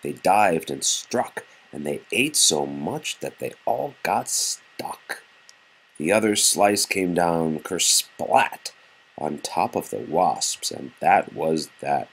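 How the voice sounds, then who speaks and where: A man reads a picture book to young children in a very low voice with a husky grain. His delivery is animated and moves freely up and down as he tells the story, with a patient, casual way of speaking to his listeners. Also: slow pace, rough recording.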